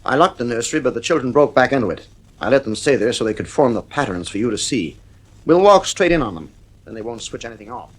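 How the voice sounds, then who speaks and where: Actor as stern father with animated intonation. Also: medium pitch, fast, close to an everyday voice, rough recording. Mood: brisk, anxious. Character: stern, worried father at his wits' end. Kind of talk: radio drama acting.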